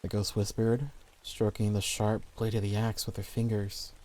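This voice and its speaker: A man's voice: on the low side, level tone, normal speed, darkish tone. A man reading scripted horror stories as the narrator.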